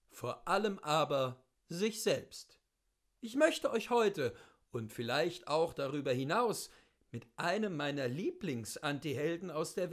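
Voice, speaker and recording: A man reading classic literature aloud as a host, with deliberate, theatrical phrasing and weighty pauses. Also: a little high. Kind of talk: expressive literary reading, scripted narration.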